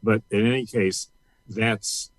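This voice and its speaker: An older man from Missouri and Louisiana, a guest author, talking in a thoughtful, measured way with frequent restarts. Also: lowish voice, fairly even intonation, no echo. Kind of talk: casual interview talk.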